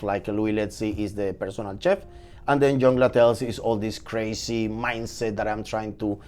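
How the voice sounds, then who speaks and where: A man in his forties from Venezuela with a Venezuelan Spanish accent, a chef telling his story as a podcast guest in a lively, energetic way. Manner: animated, energetic storytelling with swings in pitch. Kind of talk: casual podcast interview.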